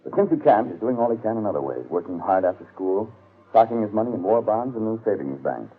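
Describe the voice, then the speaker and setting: Male announcer for vintage radio ad, low animated delivery, tinny narrow broadcast sound. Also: fast talker, breathy edge. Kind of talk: scripted 1940s radio commercial narration.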